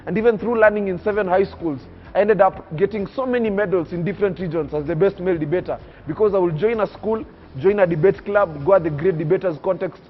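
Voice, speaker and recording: A man's voice: high voice, quick pace, phone-quality sound, slight background noise. A nineteen-year-old man from Kenya telling his life story in an emphatic, oratorical way. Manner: oratorical, emphatic, preacher-like cadence. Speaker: young public speaker interviewed on TV. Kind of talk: earnest personal storytelling.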